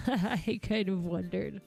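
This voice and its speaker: A woman's voice: mid-range voice, extremely slow, creaky, dark tone, soft background music. A woman livestreaming a game, reacting casually in a playful, goofy, exclamatory way.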